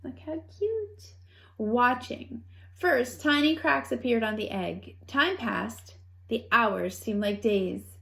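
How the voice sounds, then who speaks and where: Slow, expressive woman reading a storybook. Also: a little high, creaky. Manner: expressive, with playful asides to young listeners. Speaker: storybook reader. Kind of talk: children's read-aloud storytelling.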